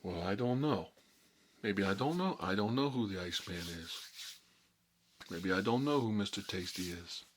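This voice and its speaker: Man playing a low-voiced, cocky mob boss. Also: animated, a little hiss or hum, lightly acted. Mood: guarded, defiant. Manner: tough-guy street talk, needling, unhurried. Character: cagey, cocky mob boss being questioned by feds. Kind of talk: ASMR role-play monologue.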